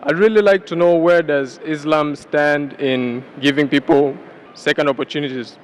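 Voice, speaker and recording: Slightly high man asking a question. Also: steady tone, moderate pace, a little hiss or hum. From Tanzania. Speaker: audience questioner. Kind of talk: polite question at a public lecture. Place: public lecture venue.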